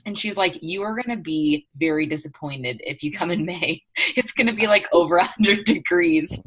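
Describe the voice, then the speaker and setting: A woman from eastern Washington State, telling rambling, animated stories in a casual group chat over a video call. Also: lowish voice, moderate pace, husky.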